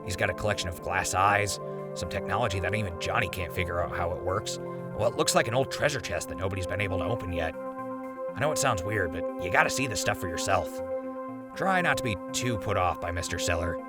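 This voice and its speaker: Low-voiced, chatty wasteland radio host played by a man. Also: lively intonation, fast. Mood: chatty, upbeat, wry. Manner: chatty, folksy, wry. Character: chatty, folksy male post-apocalyptic radio host. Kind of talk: in-character sponsor reads, conversational radio patter. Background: loud music.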